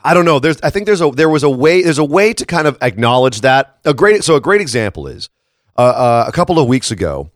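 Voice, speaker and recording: A man with a slightly hoarse, froggy voice chatting casually and emphatically as a podcast host. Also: medium pitch, big pitch swings, fairly quick, no echo, clean studio sound. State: tired froggy morning voice after a late shift. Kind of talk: casual wrestling commentary chat.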